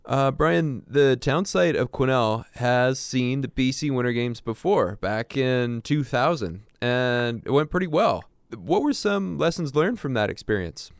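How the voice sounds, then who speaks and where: A man reporting a news item and interviewing a guest in a measured, formal way. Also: medium pitch, animated, creaky, no room sound, studio quality. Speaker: radio reporter.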